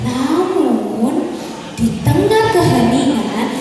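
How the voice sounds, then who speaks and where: A teenage Indonesian girl performs as a storyteller in a very high voice. She speaks slowly and dramatically, far off in a reverberant hall with music behind her. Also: expressive. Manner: dramatic, deliberate, with long pauses. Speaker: student storyteller.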